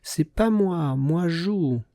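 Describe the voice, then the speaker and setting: Man doing an excited little bear cub, high-pitched. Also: very slow, no echo, exaggerated voice. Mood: innocent protest. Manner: baby talk in short broken phrases. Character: toddler bear cub brother, eager and innocent. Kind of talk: children's storybook character voice.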